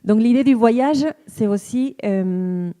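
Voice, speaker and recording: A woman from Argentina in her forties with an Argentine accent, a tango dancer and teacher, speaking in a thoughtful, halting way as she searches for words. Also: a little high, warm tone. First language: Spanish. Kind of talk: personal reflective talk.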